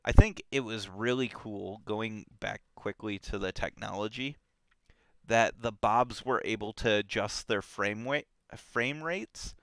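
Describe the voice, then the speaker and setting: A man talks through a book in a relaxed, animated way, stopping and restarting his sentences. His voice is bright and crisp, the sound dry and close, and his pace is unhurried. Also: fairly low pitch, no echo. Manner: animated, lots of fillers and restarts. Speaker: book club podcast host. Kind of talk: casual book discussion.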